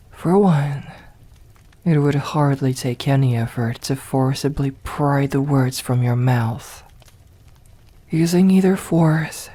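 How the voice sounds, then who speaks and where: Woman playing a vampire noblewoman, ASMR roleplay, mellow, husky, half-whispered, deliberate, formal, condescending, seductive. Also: extremely low pitch, full character voice. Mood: cool, intrigued. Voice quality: breathy, soft, often whispered. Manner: slow, deliberate, condescending, formal old-fashioned diction. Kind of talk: ASMR roleplay, scripted acting.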